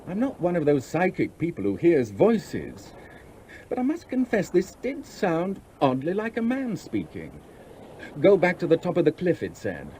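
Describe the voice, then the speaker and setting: An actor playing a charming, idle English gentleman in a 1970s radio play, suave and teasing with small hesitations. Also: a little high, huge pitch swings, normal speed, slight background noise. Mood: puzzled, courteous. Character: charming, flirtatious, idle well-off English gentleman, restlessly bored.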